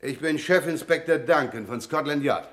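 A man's voice: fairly high pitch, moderate pace, lightly acted. A man playing a self-assured Scotland Yard chief inspector in a German radio crime drama, explaining things with dry, polite irony. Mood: cool, composed. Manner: drily ironic, politely explaining.

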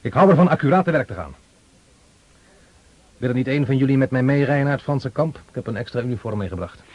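A man's voice: medium pitch, expressive, quick pace, husky, no echo. A man acting a precise, commanding guards officer in a radio drama, cool and exacting. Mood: brisk, commanding. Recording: dull, dry old radio recording.

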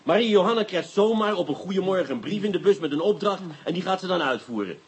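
An actor playing a sly police inspector, mock-polite and insinuating, in a Dutch radio play. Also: male voice, high-pitched, expressive, fast, slightly rough, exaggerated voice. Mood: pressing, ironic. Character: sly, sardonic male police inspector, coolly coaxing.

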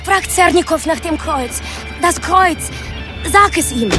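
An actress playing a distraught, accusing woman in a radio crime drama, crying out with shrill urgency. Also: expressive, slow pace, exaggerated voice. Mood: desperate, urgent. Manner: shrill, urgent, insistent outcries. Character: distraught accusing woman shouting at a garden party. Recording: party crowd and music behind.

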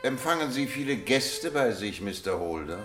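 An actor playing a self-assured, eccentric gentleman detective in a German radio play, commanding and inquisitive. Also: medium pitch, very animated, normal speed, music in the background, close to an everyday voice. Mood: probing.

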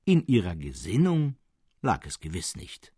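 A man acts out a serene but mad hermit monk in a literary audiobook. The voice is husky and moves in wide, animated arcs, eloquent and insistent as it argues with calm conviction. It sounds close and dry. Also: deep voice, unhurried, no room sound, voice pushed down, exaggerated voice. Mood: gentle, dignified. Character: serene, mad male hermit believing himself a martyred monk. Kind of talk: dramatic literary audiobook reading.

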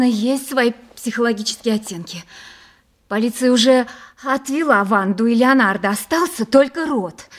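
A woman's voice: high voice, lightly acted. An actress playing an anxious, insistent young woman in a Russian radio play, speaking with emotional urgency. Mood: urgent, insistent. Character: anxious, insistent, jealous young woman pleading for her man.

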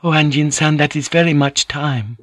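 Man voicing a high, polite woman. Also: moderate pace, a bit bright, no echo, lightly acted. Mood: tender, deferential. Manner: polite, apologetic, careful foreign-sounding English. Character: gentle, deferential Japanese woman, earnest and coaxing. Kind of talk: audiobook character voice.

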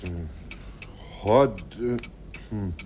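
A man's voice: fairly low pitch, extremely slow, darkish tone. An actor playing a genial, slightly vague father who hems and muses, in an old radio play. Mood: genial, musing. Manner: hemming, musing. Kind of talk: 1950s radio acting. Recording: old radio recording with faint noise.

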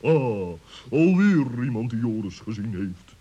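A man's voice: medium pitch, big pitch swings, measured pace, mellow, husky, highs cut off. A man voicing a wise, polite owl who leads his travelling friends in a children's radio play. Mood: brisk, polite.

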